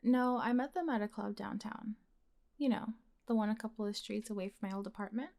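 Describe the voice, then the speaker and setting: Casting: young woman, voice actress playing a blunt heartbreaker best friend, mid-pitched, casual and expressive, intimate audio roleplay. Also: fairly quick, gravelly, lightly acted. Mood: exasperated, casual. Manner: casual, expressive, sighing, sweary. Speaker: voice actor in ASMR roleplay. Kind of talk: intimate conversational ASMR roleplay acting.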